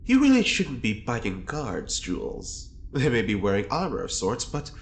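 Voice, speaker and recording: An actor playing a wry, teasing prisoner and schemer in an audio drama, with a droll, arch, playful manner. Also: male voice, medium pitch, huge pitch swings, normal speed, a little hiss or hum.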